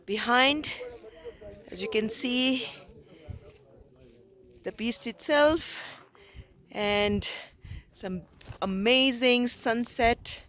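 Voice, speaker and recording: Hoarse woman vlogging on a mountain. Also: fairly high pitch, very slow, long pauses, fairly bright tone. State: hoarse, lost voice. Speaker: trekker filming her climb. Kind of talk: casual travel vlog narration. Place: outdoors on a high mountain.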